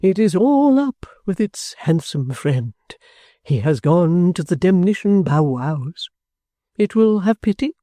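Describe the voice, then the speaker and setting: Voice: medium pitch, big pitch swings, slow, warm tone, no echo, studio quality, voice pushed up. A reader voicing an affected, foppish man pleading in wheedling baby talk and mild oaths.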